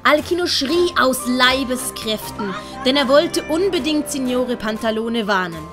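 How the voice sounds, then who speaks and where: Swiss German woman for children's story narration, fairly high voice over prominent music and effects. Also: animated, a little slow. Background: dramatic music and sound effects.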